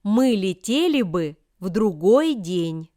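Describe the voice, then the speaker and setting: A woman reading example sentences for language learners with careful, deliberate enunciation. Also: mid-range voice, big pitch swings, mellow, no room sound, clean studio sound. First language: Russian. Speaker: language lesson reader.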